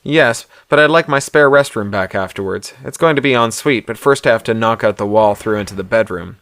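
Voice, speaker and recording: A man reading the part of a breezy, campy, muscular party hostess in story dialogue, brisk and teasing. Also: lowish voice, fairly bright tone, no echo, studio quality. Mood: breezy, camp. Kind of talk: audiobook character dialogue.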